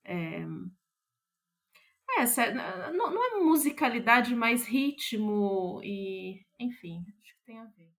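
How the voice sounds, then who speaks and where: A woman's voice: on the high side, sing-song, slow, a bit bright. A Brazilian woman, a playwright being interviewed, thinking aloud and often searching for her words. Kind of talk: reflective interview conversation.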